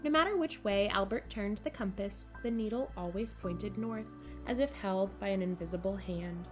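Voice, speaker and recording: A woman narrating a children's picture book in measured, deliberate phrases with dramatic pauses. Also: mid-range voice, music in the background. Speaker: storybook reader for children.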